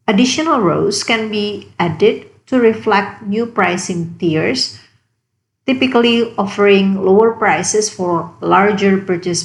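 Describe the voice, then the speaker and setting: A woman with a mid-pitched voice narrates a software tutorial from a script. Her delivery is measured and explanatory, laying out each step as instruction.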